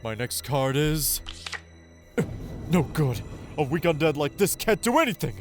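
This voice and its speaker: A man's voice: on the high side, very animated, measured pace, faint music behind. An actor playing an earnest, determined teenage boy card duelist in an anime-style audio drama, dramatic as he thinks aloud and calls out moves. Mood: tense, calculating.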